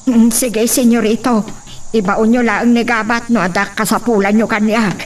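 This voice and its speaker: A woman's voice: fairly high pitch, fairly even intonation, moderate pace, close to an everyday voice. A woman with an Ilocano accent playing a deferential, motherly older household servant in a radio drama. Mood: apologetic, obliging. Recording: distant mic, faint cricket noise.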